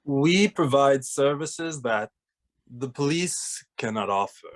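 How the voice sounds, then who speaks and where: A man playing a weary, serious ghost detective with a deadpan manner in an animated comedy table read. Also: mid-range voice, slow pace. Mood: businesslike. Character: weary, serious male ghost detective, older-brother type.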